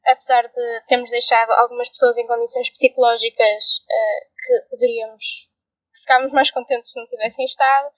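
Agreeable young Portuguese woman, higher voice. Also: level tone, a little fast, no room sound, low-quality recording. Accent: European Portuguese. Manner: agreeable, often affirming others, hesitant fillers. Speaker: podcast co-host. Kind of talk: casual podcast discussion.

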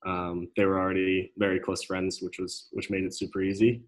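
A young man from Denmark talks about his life as a guest in a casual interview. His voice is deep and quite flat. He pauses often with ums and restarts. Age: young adult. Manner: hesitant, many ums and restarts.

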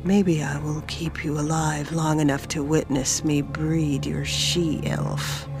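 A menacing male monster lord of fantasy storytelling, played by a woman narrator. He taunts with sadistic, ominous relish at a slow, deliberate pace. Music plays clearly behind the voice. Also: low-pitched. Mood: vicious taunting. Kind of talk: audiobook fantasy villain dialogue.

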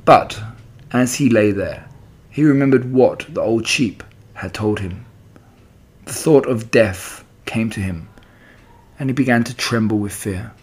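A man narrating a children's book aloud in an expressive storytelling style. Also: on the low side, measured pace, long pauses, slightly rough.

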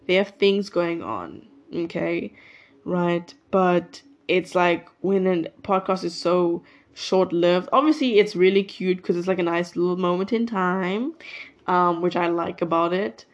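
A woman's voice: fairly low pitch, unhurried, lo-fi sound. A nineteen-year-old woman hosting her solo podcast, chatting casually and rambling, breaking off her own sentences. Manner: rambling, chatty, self-interrupting. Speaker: podcast host. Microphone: mic plugged into a phone.

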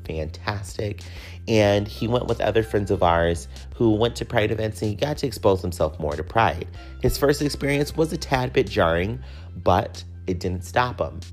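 A man with a deep, low voice hosts a personal podcast. He speaks in a casual, candid way, as if chatting directly with his listeners, and swears freely. Faint music sits behind his voice. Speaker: podcast host.

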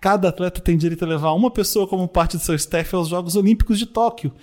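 A man's voice: sits high, fast, warm tone. A man hosting a casual podcast chat and reading the news aloud. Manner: chatty, reads news aloud. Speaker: podcast host.

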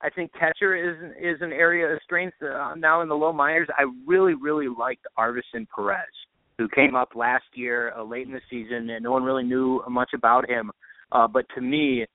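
A man speaks over a narrow, phone-like connection with no room echo. He is a podcast guest with a mid-pitched voice, giving relaxed, conversational sports analysis like an announcer off duty. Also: moderate pace. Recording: tinny, band-limited phone-like line.

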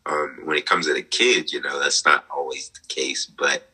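A young man in his twenties with a very deep, bright and husky voice. He tells his story earnestly, pausing on fillers and laughing softly. He talks over a remote call. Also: steady tone, poor audio. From United States. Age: 20s. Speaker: guest. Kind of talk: conversational interview, personal story.

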